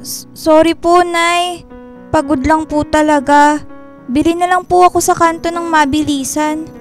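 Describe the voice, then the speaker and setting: A woman's voice: sits high, steady tone, a little slow, soft background music. A woman acting a dutiful but sulky young Filipino daughter in a radio drama. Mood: apologetic. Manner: meek to mother, grumbling to brother.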